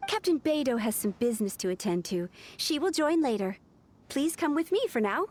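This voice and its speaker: A woman's voice: high-pitched, sing-song, brisk, darkish tone, slight background noise. A woman voicing a formal official announcing contest winners in a video game. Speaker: event announcer.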